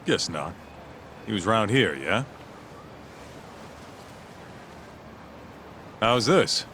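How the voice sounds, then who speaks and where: A man playing a gruff, blunt private investigator with slangy, wry talk in a video game cutscene. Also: fairly low pitch, big pitch swings, unhurried, bright, forceful tone, a little hiss or hum, exaggerated voice.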